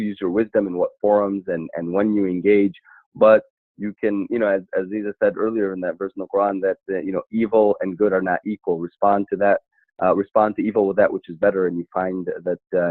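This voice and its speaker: A man speaking earnestly as a panelist in an online town hall, halting and often repeating words as he goes. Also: deep voice. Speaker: panelist, civil rights attorney and activist. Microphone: internet call. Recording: thin, phone-like online call audio.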